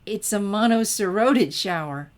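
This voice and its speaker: High-pitched teenage girl, nerdy, excitable, gushing, eager, story character, acted by a woman. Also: unhurried, close to an everyday voice. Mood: giggly, amused. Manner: eager, gushing, stammering when flustered.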